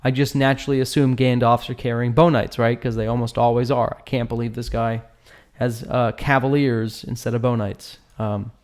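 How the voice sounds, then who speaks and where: A man with a mid-pitched voice talks through a game battle as a channel host. His delivery is informal and conversational, full of slang and joking asides. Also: flat delivery, normal speed, few pauses, a little mellow. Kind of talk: casual gameplay commentary, analysing a battle report.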